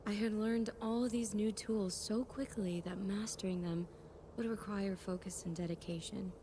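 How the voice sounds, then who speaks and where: A woman's voice: mid-range voice, fairly even intonation, moderate pace, lightly acted. Lady acting proud impatient warlock girl narrating audio drama, mid voice, noisy background. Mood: frustrated, indignant. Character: frustrated, headstrong young female warlock, proud and impatient. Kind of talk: fan audio drama first-person narration.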